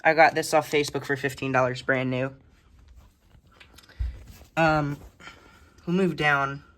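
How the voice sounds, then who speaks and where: A collector casually showing items one by one in a halting, list-like way, recorded indoors on a handheld phone. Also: medium pitch, steady tone, fairly bright tone. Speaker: hobbyist collector presenting a radio collection. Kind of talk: casual show-and-tell narration of a collection. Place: indoor room with shelves of electronics. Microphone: handheld phone behind the camera.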